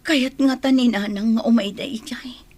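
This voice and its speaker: High-voiced, worried Ilocano mother played by a woman. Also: fairly even intonation, normal speed, a little mellow, lightly acted. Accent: Ilocano Filipino. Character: worried mother on dialysis, anxious for family. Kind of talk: audio drama acting.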